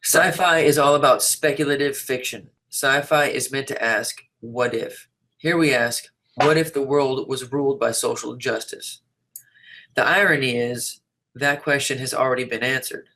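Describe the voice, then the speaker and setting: Measured man with a mid-range voice. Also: medium pitch, bright, forceful tone, low-quality recording. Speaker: reader of an interviewee's typed answers. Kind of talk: reading written answers aloud in an interview.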